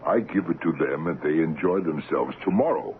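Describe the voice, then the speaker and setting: An actor playing a shrewd, servile trading-post clerk in the Congo, speaking clipped broken English with cool deference in a 1970s radio drama. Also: male voice, very deep voice, animated, normal speed. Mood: sly, coaxing. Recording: noisy, tinny 1970s radio broadcast.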